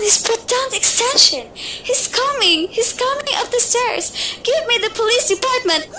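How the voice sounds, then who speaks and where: A frightened, demanding bedridden woman in a radio play, acted by a young woman. Her voice sits very high and bright, fretful and imperious, with a faint hiss behind it. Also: full character voice. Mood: terrified. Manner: fretful, imperious, rising to panic. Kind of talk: amateur radio play acting.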